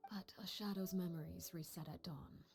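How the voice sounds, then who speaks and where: A woman voicing a stern noblewoman who rules an orphan house, speaking in a breathy, measured, commanding tone. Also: lowish voice, fairly even intonation. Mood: wistful. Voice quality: breathy, hushed. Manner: measured, cold authority. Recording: game audio with music behind.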